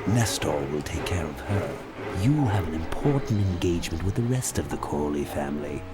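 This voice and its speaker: An actor playing a smug, menacing corporate villain in a video game, sneering and sarcastic. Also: male voice, a bass voice, animated, normal speed. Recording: music behind the voice.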